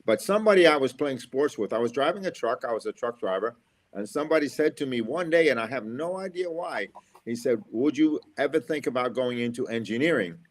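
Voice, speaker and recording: A man's voice: medium pitch, expressive, a little fast. A middle-aged American man from Florida, an engineer and school speaker, giving upbeat, motivational interview answers and repeating his key phrases. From USA, Florida.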